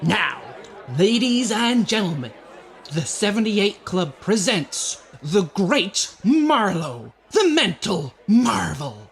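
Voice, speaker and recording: An actor playing a showy nightclub master of ceremonies, proclaiming theatrically in old-time radio style. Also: male voice, sits high, sing-song, takes it slowly, creaky, fairly bright tone, husky, full character voice.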